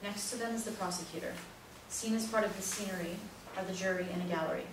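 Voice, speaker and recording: A woman's voice: medium pitch, fairly even intonation, fast. A woman narrator reading scripted stage directions at a play reading, recorded in an echoey room. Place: echoey indoor room, far from mic. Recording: very echoey room, distant mic, noisy.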